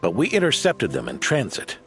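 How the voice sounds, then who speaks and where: An actor playing an aging, modest veteran knight who speaks calmly and with self-deprecation in a game cutscene, with background music. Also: male voice, mid-range voice, brisk, gravelly, exaggerated voice. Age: older. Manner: calm, reflective, self-deprecating. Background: background score.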